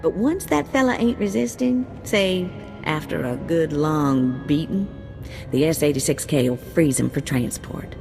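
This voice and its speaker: A drawling, folksy bounty handler talks low and easygoing, full of slang. Game music plays under her briefing in this video game cutscene. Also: a little slow, gravelly.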